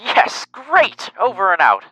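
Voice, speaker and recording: A man's voice: high voice, wildly swooping pitch, takes it slowly, pushed and bright, husky, soft background music, exaggerated voice, rough recording. An actor playing an earnest, paranoid boy acting as military mission control, speaking in clipped radio talk in a fan dub. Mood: brisk, eager.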